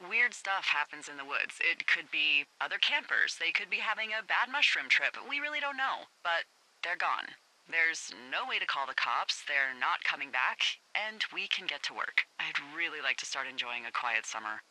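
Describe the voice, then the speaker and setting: A woman's voice: sits low, animated, normal speed, pushed and bright, full character voice, poor audio. An actress playing a wry, teasing middle-aged female fire lookout supervisor in a video game, American, talking playfully and conversationally over a two-way radio. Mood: earnest, reassuring. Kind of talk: naturalistic video game dialogue.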